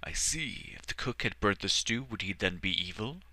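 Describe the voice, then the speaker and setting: A man voicing a wise old elf mage who speaks in a measured, formal and gentle way. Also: on the low side, lively intonation, no room sound, pitched above the performer's own voice, exaggerated voice.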